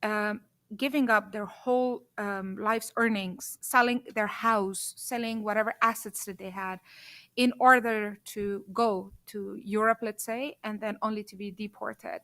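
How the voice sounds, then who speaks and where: Woman on a discussion panel, earnest, lots of ums and restarts, mid-pitched. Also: steady tone, measured pace, fairly bright tone. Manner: earnest, hesitant with many fillers and restarts.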